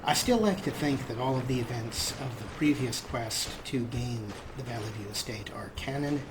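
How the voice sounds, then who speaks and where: A man's voice: medium pitch, moderate pace, noisy background. A man hosting a let's play, giving casual game commentary with wry, dry humor. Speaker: gaming video host.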